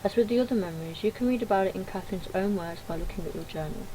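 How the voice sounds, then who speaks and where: A woman's voice: mid-range voice, racing, warm tone. A woman reading game dialogue aloud with casual commentary, in a noisy home recording. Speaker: gaming video creator.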